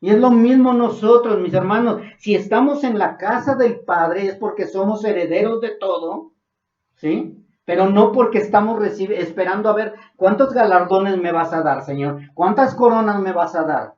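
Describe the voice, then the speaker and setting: A Mexican man preaches, his voice sitting fairly high and turning earnest and fervent as he presses a point. He is heard from a distance in a roomy church hall, and the recording sounds dull and muffled. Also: normal speed, darkish tone. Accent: Mexican Spanish. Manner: earnest, rising to fervent emphasis. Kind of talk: Bible study sermon. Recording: distant, dull, echoey room.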